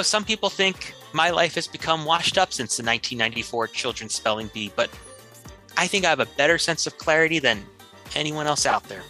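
A man's voice: fairly high pitch, sharp tone. A man playing an earnest, rambling, washed-up small-town car fixer in a mock commercial appeal for money. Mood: shameless, earnest.

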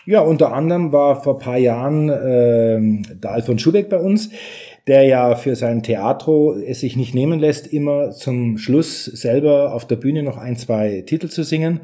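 A man's voice: mid-range voice, normal speed, warm tone. A middle-aged man answering interview questions as a studio owner, chatty and explanatory with a joking streak. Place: home recording studio indoors.

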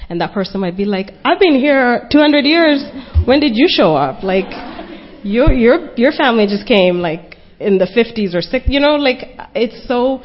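A woman panelist speaking earnestly and reflectively at a conference panel discussion, thinking aloud as she goes. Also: mid-range voice. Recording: dull lo-fi conference recording.

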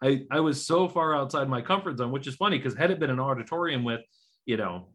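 A man hosts a podcast in a relaxed, self-deprecating voice, swearing now and then. His pitch sits in an ordinary middle range. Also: quick pace. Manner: laid-back, self-deprecating, swears casually. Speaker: podcast host. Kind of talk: conversational storytelling.

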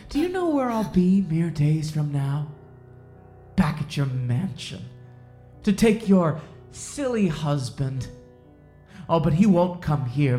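Woman playing a slow, menacing Puritan sheriff. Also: low voice, warm tone. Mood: sly, threatening. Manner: deliberate, brooding, halting with long pauses. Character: menacing, self-righteous male Puritan sheriff, coldly predatory. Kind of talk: audio drama acting, slow dramatic monologue. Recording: roomy echo under music.

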